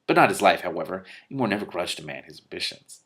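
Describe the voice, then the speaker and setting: A man with a fairly low voice reads a story aloud for his audience as host. His delivery is expressive, and he drops out of the narration into candid, informal asides, sometimes swearing. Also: fast. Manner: breaks off to react candidly, with swearing. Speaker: host reading a novel aloud with commentary. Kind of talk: expressive book reading with casual reactions.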